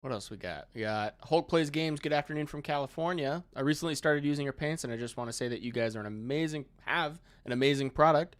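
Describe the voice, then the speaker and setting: A man's voice: a little high, fast, few pauses, clean tone. A man in his thirties co-hosting a hobby livestream, reading viewer comments aloud and teasing his co-hosts in casual chat. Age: 30s. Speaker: co-host of a hobby paint livestream.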